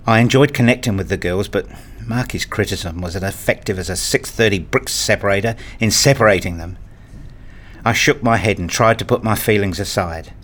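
A man acting as a married father who tells his own story in a radio play. His voice sits fairly low, and he delivers the scripted narration in a measured, reflective manner, wistful and a little fussy. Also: a bit bright.

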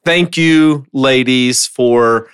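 A man's voice: mid-range voice, very slow, smooth, dry recording, clean studio sound. A man chatting casually as the host of a podcast.

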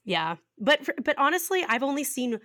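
A woman in her thirties co-hosting a podcast, raspy and a little hoarse from a cough, chatting casually and laughing often as she rambles through stories. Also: high-pitched, sing-song, moderate pace, sharp tone, dry recording, clean studio sound. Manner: giggly, rambling storyteller who laughs often. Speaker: podcast co-host. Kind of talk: casual chat.

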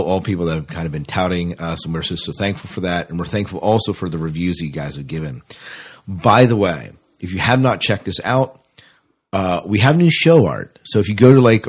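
A man co-hosting a sci-fi fan podcast, chatting casually and wandering into asides. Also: low-pitched. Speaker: podcast co-host.

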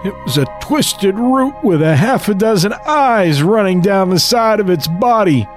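A man's voice: high-pitched, lively intonation, a little slow, faint music behind, pitched above the performer's own voice. A man voicing a frail, rambling old grandfather who tells a horror story in the first person. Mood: eerie storytelling.

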